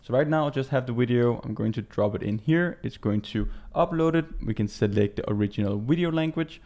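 A man in his twenties from Denmark with a Danish accent, giving a casual software walkthrough as a native Danish speaker. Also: medium pitch, a little fast. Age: 20s. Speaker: tutorial presenter. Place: small indoor room.

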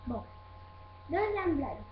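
Childlike female puppet host, unhurried and hesitant, echoey recording with faint noise. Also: extremely high pitch, big pitch swings. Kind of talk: playful joke-telling to camera. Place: indoor room with desk, webcam. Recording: muffled, echoey, noisy webcam recording.

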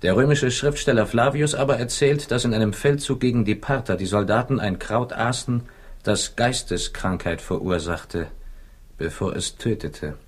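An actor playing an erudite, ironic investigator in a 1960s radio crime play, with a dry, deliberate delivery. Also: male voice, mid-range voice, breathy edge, natural voice. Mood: measured, lecturing. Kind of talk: 1960s German-language radio crime drama acting. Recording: faintly noisy old radio recording.

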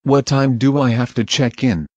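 A man reads short English travel phrases in a measured, plain voice, one at a time for learners. His pitch is ordinary for a man. The audio is dry and a little dull, as if the highs were trimmed. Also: darkish tone, no echo. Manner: measured, plain, each phrase read alone. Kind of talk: slow clear phrase reading for learners.

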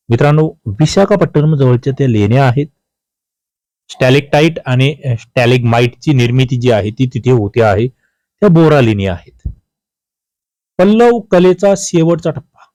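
A man's voice: on the high side, darkish tone, dry recording. A man with a Maharashtrian Marathi accent teaching exam quiz questions, addressing students directly as he reads and explains. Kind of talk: quiz lesson, reading questions and explaining answers.

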